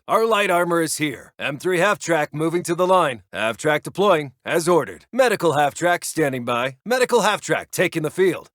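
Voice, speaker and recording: Male American army intel officer for a strategy game: slightly high-pitched, clipped, official, dry close sound. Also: dry recording. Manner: clipped, official, dutiful reporting. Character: brisk, businesslike American military intelligence officer. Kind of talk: game unit announcements, crisp scripted military reports.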